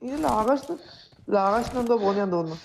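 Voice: mid-range voice, animated, racing, a little hiss or hum. Gamer urgently repeating call-outs. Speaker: teammate in an online shooter game. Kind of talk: casual in-game squad chat.

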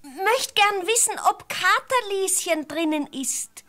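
Squeaky, simple-minded peasant wife. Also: female voice, expressive, slow, sharp tone, exaggerated voice. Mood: naive, dreamy. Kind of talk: fairy-tale radio play acting.

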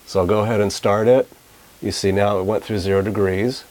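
Casting: male instructor, 40s, slightly low voice, clear explanatory demonstration style. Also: steady tone, brisk, a little mellow. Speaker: physics lab instructor. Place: small anechoic chamber, indoors.

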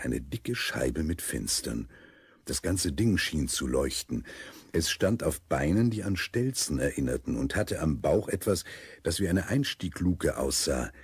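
A man narrating a scripted children's audio story in a husky voice. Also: rumbling low, a little mellow, no echo.

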